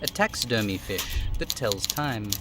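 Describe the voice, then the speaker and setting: Expressive man reading game text aloud. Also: lowish voice, a little slow, heavy background noise. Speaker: game streamer. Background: faint music.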